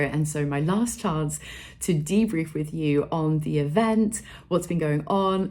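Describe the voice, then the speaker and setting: A woman's voice: low voice, mellow. A woman, a vlogger and public speaker, talking casually and cheerfully to camera with plenty of "like" and "literally". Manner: chatty and upbeat, fillers like "like" and "literally". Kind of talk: casual to-camera vlog chat.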